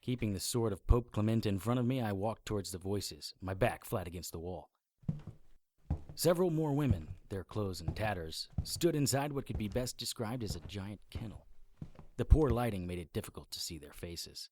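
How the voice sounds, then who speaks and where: Low-voiced hard-boiled occult detective, played by a man. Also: animated, moderate pace, a little mellow, a little hiss or hum, natural voice. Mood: grim, tense. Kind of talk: scripted first-person narration, noir audio drama.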